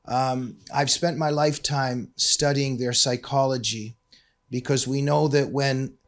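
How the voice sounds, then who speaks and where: A man hosting a talk show, speaking emphatically in deliberate, drawn-out phrases. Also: medium pitch, fairly even intonation. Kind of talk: scripted intro and interview talk.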